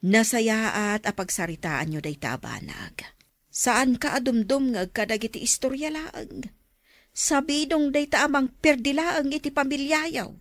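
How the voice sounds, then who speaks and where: A woman's voice: high-pitched, unhurried, dry recording, studio quality. A woman playing a concerned middle-aged mother who gives counsel, in an Ilocano radio drama. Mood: gentle, advising. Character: concerned mother counselling a quarrelling married couple.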